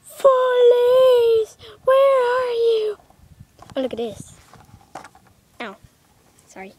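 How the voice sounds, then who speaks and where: A young girl playing out a toy pet adventure with excited squeals and put-on voices, filmed on a phone outdoors. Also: very high voice, extremely slow. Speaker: toy video maker voicing pets. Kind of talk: playful toy play acting. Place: backyard playground outdoors. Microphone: handheld phone camera. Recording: phone audio with background noise.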